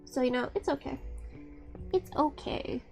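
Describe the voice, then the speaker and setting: A woman's voice: unhurried, creaky, warm tone, soft background music. A young woman streamer chatting casually on a live stream, rambling and restarting her sentences, often breaking into laughter. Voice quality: high-pitched.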